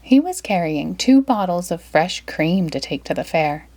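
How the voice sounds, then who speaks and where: Animated woman reading a children's storybook. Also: on the low side, a little slow. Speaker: storybook reader. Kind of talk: expressive children's read-aloud narration.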